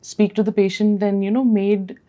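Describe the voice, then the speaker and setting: A woman from Nepal in her thirties, a dental specialist and podcast guest, telling her story in a warm, reflective way with many fillers and restarts. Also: medium pitch, flat delivery, normal speed. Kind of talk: conversational podcast interview.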